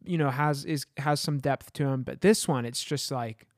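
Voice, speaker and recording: Male podcast co-host, rambling and word-searching, mid pitch, dry close mic. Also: moderate pace, no room sound. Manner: rambling, searching for words, with "you know" and "I mean". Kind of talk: casual chat.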